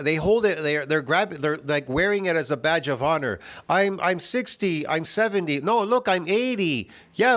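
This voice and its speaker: A man's voice: fairly high pitch, fairly bright tone. A man hosting a reaction video, talking in a rambling, repetitive and mocking way, often tossing in "get it?" and "bro". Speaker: host reacting to a video clip. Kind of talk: casual rambling commentary.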